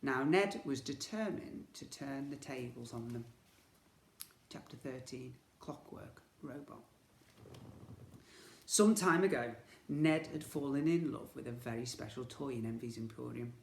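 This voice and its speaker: Male storyteller for young children, expressive and theatrical, recorded in a roomy, echoing space. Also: medium pitch, unhurried, vocal fry. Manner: theatrical, expressive, with emphatic sound words. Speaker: teacher reading a story to children. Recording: echoey room.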